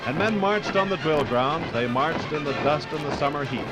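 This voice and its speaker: A man's voice: a little high, animated, moderate pace, over loud music, rough recording. A man giving solemn, emphatic, scripted narration in a dramatic 1950s radio documentary style.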